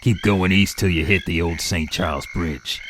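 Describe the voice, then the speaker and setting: An actor playing an easygoing, helpful man in an audio drama, folksy and unhurried. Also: low-pitched, noisy background, natural voice. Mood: friendly, advising. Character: easygoing, helpful man giving roadside directions at night.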